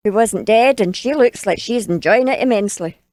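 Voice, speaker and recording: A woman's voice: medium pitch, brisk, darkish tone, no echo, lightly acted. A woman playing a simple, innocent eighteen-year-old cleaning girl in an audio drama, polite and naively curious. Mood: innocent curiosity.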